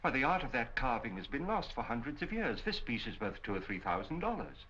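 An actor playing a courteous, shrewd gentleman detective in a measured, articulate 1940s film style. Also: medium pitch, sing-song. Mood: absorbed. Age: 50s. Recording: muffled, hissy 1940 film soundtrack.

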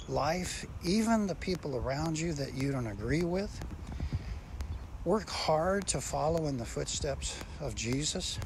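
An older man, a pastor, preaching earnestly and slowly on a walk outdoors, filmed on a phone held at arm's length. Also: on the high side. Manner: slow, earnest preaching with drawn-out phrases. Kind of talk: outdoor devotional walk-and-talk. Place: outdoors in bright sun. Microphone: phone held low at arm's length. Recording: phone recording outdoors with background noise.

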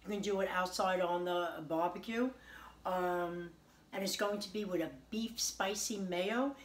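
A woman's voice: on the low side, steady tone, a little slow. Chatty woman cooking in her home kitchen. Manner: chatty, homey, tasting and praising the food as she goes. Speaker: home cooking show host. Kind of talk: casual cooking demonstration. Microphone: camera on the counter at arm's length.

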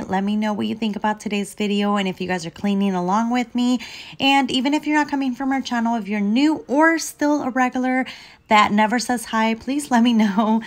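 A young woman with a stuffy, sniffly cold, chatting upbeat to viewers while cleaning her home kitchen. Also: medium pitch. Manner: upbeat, chatty, laughs between phrases. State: stuffy and sniffly with a sore throat. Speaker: cleaning vlogger, mother.

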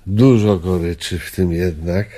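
An older man playing a gentle, melancholy old friend in a radio drama, pensive, probing and a little hesitant. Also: deep voice, unhurried, husky. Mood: apologetic, wistful.

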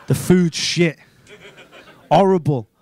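A man doing an animated, crude stand-up set on a small comedy club stage into a handheld mic. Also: fairly high pitch, takes it slowly, slight background noise. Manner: animated, crude, ranting and incredulous.

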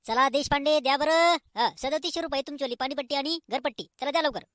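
An officious cartoon village clerk played by a man. The voice is very high and bright, brisk and instructing, and sounds close and dry. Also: no echo. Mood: demanding. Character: officious village clerk collecting taxes and explaining rules. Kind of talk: comic cartoon dialogue.

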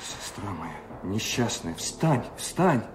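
A man's voice: on the low side, very animated, slow, noisy background. An actor playing a devout, gullible puritan officer in a radio drama, solemn and earnest in his declamation. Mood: fervent, shaken. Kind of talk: classic radio drama acting.

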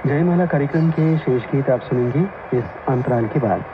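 Tinny am radio with lots of noise, male announcer introducing songs formally, mid pitch. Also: moderate pace. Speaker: radio music programme announcer. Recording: tinny, very noisy AM radio reception.

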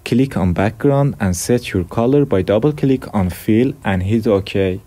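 A young man from Iran with an Iranian accent, hosting a software tutorial with plain step-by-step instructions. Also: fairly low pitch, measured pace. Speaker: tutorial host. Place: dim room at a desk.

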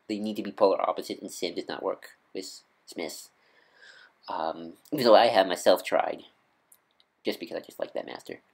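Deep nasal man, rambling casually. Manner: rambling, halting, self-correcting. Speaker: YouTube vlogger and podcaster. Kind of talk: casual off-the-cuff fan commentary.

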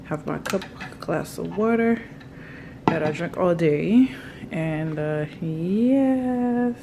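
Unhurried, low-pitched woman vlogger in noisy room. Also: gravelly. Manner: informal, unhurried, addressing viewers. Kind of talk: casual vlog chat. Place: home kitchen, indoors.

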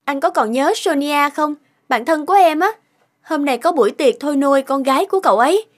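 A woman's voice: sits high, animated, a little fast. A woman voicing a sweet, evasive young wife in a cartoon. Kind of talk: Vietnamese cartoon dubbing.

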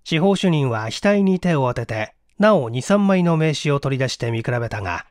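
A male audiobook reader narrates a mystery with theatrical flair. His voice sits high and swings widely in pitch. The sound is dry, with hardly any room. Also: big pitch swings, moderate pace, no room sound. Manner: theatrical, acts out each character's dialogue. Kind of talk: dramatic scripted narration of a mystery story.

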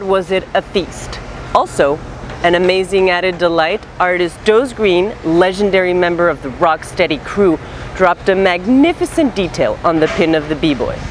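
A woman's voice: lowish voice, slight background noise, low-quality recording. A woman in her thirties presenting scripted news items as a show host, in casual, slangy language. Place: city street, outdoors. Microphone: lapel mic. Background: music.

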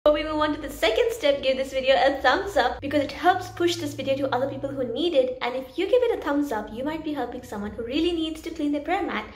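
A young woman vlogging a cleaning tutorial to a camera held at arm's length, chatty and instructive, with quiet asides while she works. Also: high-pitched, quick pace, few pauses, reverberant. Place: small carpeted room indoors.

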